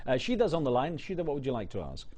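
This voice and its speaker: Fast, mellow-voiced host. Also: male voice, medium pitch, wildly swooping pitch. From West London, England. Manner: brisk, moves the show along. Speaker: talk show host. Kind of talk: live call-in interview hosting.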